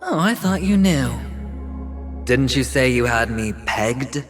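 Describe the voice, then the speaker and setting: An actor playing a guarded, weary young gambler in a video game, speaking in a measured, dry, hesitant way. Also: male voice, medium pitch, expressive, music in the background. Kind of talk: dramatic video game acting.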